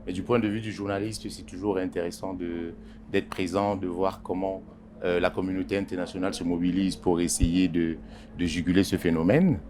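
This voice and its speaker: A man, a journalist, answering interview questions in a halting way with many pauses and repeated words. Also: low voice, flat delivery, music in the background. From Congo Basin. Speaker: journalist and editorial writer.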